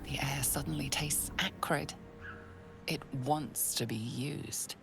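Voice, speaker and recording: An actress playing an ominous fantasy game narrator, hushed and deliberate, telling the story in second person. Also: female voice, extremely low pitch, gravelly, slight background noise, heavily acted. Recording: music behind the voice.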